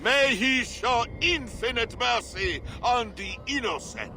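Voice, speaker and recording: An actor playing a stern, pious official, solemnly proclaiming judgment in a video game. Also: male voice, very high-pitched, expressive, takes it slowly, sharp tone, exaggerated voice. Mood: solemn, condemning. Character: stern pious official pronouncing a holy trial. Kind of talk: dramatic video game acting. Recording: dramatic music behind the voice.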